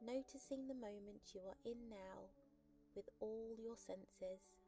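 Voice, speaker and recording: A woman narrating a guided sleep meditation in a calm, gentle, unhurried and soothing manner. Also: on the high side. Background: soft music.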